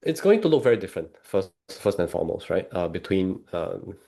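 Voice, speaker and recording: A man speaks as an interview guest. He explains things in a measured, conversational way, like a coach, checking in with the listener as he goes. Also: low voice, sing-song, gravelly, warm tone. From Singapore.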